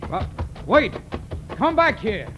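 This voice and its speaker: An actor playing a folksy, excitable gas station attendant with a rural American accent and rustic speech, in 1940s radio style. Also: male voice, high-pitched, dull audio, over loud music, full character voice. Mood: alarmed. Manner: folksy, rustic, drawn-out hesitations. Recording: noisy old 1940s radio recording.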